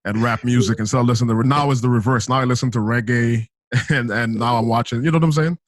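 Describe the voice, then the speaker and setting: A man's voice: medium pitch, steady tone, fast, no echo, studio quality. A man in his thirties from Saint Lucia, hosting a podcast in a laid-back, slangy chat. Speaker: podcast host. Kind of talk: casual chat.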